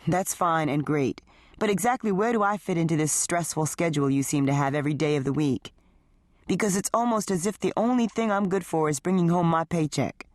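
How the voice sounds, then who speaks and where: A woman's voice: deep voice, fairly quick, no room sound, clean studio sound, natural voice. A woman voicing a resentful married man who talks in a hostile, sarcastic tone. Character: resentful, bitter married man in his forties.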